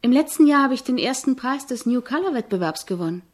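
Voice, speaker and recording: An actress playing an eager, admiring composition student in a radio drama, speaking in an earnest, searching, deferential way with a husky voice. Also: female voice, sits high, expressive, fast talker, darkish tone. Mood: eager, persistent. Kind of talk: German radio drama acting.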